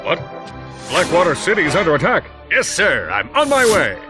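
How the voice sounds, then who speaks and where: An actor playing a vain, dim, blustering cartoon superhero in a game, cocky and self-important. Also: male voice, sits high, wildly swooping pitch, moderate pace. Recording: music behind the voice.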